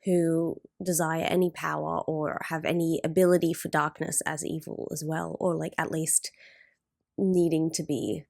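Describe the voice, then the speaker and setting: A woman with a slightly low voice gives casual, unscripted reaction commentary. She speaks in a halting way, working out her thoughts as she goes. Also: little pitch movement, vocal fry, darkish tone, no echo, studio quality. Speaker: reaction video host.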